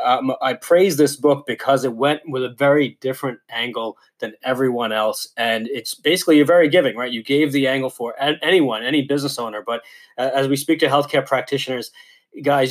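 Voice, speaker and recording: Upbeat New York man hosting a podcast. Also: mid-range voice. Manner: friendly, upbeat, addresses listeners directly. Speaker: podcast host. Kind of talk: casual podcast interview.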